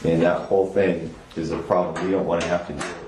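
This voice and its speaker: Very deep male investigator, echoey and noisy. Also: little pitch movement, fast, darkish tone. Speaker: police investigator. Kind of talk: interrogation, measured persuasion. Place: small indoor police interview room. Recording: echoey room, clear background noise.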